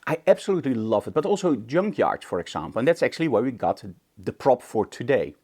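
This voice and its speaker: A man's voice: mid-range voice, sing-song, fast, warm tone. A man hosting an informal photography tutorial, speaking conversationally and emphatically with rhetorical questions. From Netherlands. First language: Dutch. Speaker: photography instructor, show host. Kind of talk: informal tutorial talk to camera.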